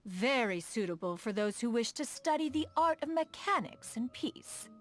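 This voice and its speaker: A proud adeptus noblewoman and inventor for a video game: high, expressive delivery, stately archaic phrasing. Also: normal speed, faint music behind. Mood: poised, appreciative. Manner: formal, archaic, lofty diction, speaks of herself as "one". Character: proud, refined immortal adeptus woman, inventor of mechanical contraptions. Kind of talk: scripted video game character dialogue.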